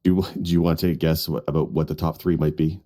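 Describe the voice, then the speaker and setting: A man's voice: a bass voice, steady tone, fast talker, dark tone. A man co-hosting a podcast in a casual group conversation. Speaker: podcast co-host.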